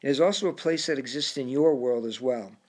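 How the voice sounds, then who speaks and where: A man voicing a calm, courteous male frog with an English accent, who speaks formally and keeps saying sir in an audiobook story. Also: mid-range voice, brisk, lightly acted. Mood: calm, instructive.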